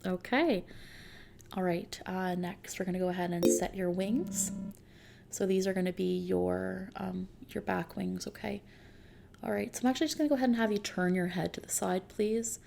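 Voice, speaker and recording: A woman playing a friendly, reassuring technician at a futuristic customization service in a soft-spoken, casual ASMR roleplay. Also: fairly low pitch, fairly even intonation, background noise. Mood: gentle, reassuring. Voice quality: soft, creaky. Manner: gentle, reassuring, casual, unhurried.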